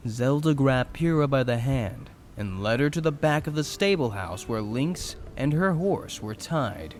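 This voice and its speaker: A man's voice: mid-range voice, expressive, measured pace. A man giving dramatic scripted narration for a fan audio drama story.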